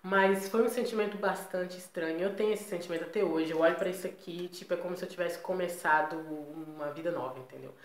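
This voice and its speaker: A woman's voice: on the low side, quick pace, few pauses, roomy sound. A Brazilian woman with a Brazilian Portuguese accent, talking in a chatty, emphatic way and often adding tag questions, in a casual personal vlog to her viewers. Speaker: vlogger.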